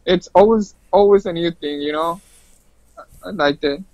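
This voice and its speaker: A man's voice: fairly high pitch, moderate pace, a little mellow, no room sound, poor audio. A man who speaks English as a second language, giving casual answers as a guest player in a gaming interview. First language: not English. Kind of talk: casual interview answers about a fighting game.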